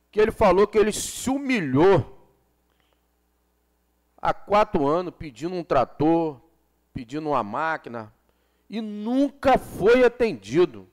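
A man with a notably high voice speaks at a council chamber desk microphone. He is a city councilman in his forties from Brazil. He delivers an impassioned floor speech and presses his points by repeating them. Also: darkish tone. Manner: impassioned, repeats phrases for emphasis. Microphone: desk gooseneck microphone.

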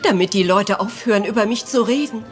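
A woman's voice: mid-range voice, brisk, soft background music, close to an everyday voice. An actress playing an anxious, indignant English lady in a retro radio mystery, dramatic and emotional. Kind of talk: retro German detective radio play acting.

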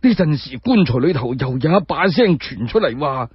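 A man voicing a frail, sorrowful old sage in Cantonese for a radio drama, drawn-out and halting. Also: mid-range voice, big pitch swings, breathy edge, no room sound, lo-fi sound, voice pushed down. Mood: troubled, fearful. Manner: drawn-out, halting, repeating words.

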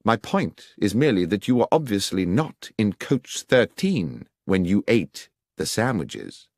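A man voicing a brisk, incisive male detective in a dramatised audiobook, precise and emphatic, with a coolly sardonic edge. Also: on the low side, very animated, no room sound, studio quality, exaggerated voice. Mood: triumphantly explaining.

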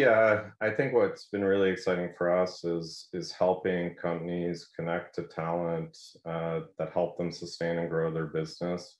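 A middle-aged man talks from his home office over a video call. His voice sits low and barely rises or falls. The sound is roomy and a little muffled. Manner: earnest, filler-heavy, repeats words. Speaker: panelist. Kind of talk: video-call panel discussion.

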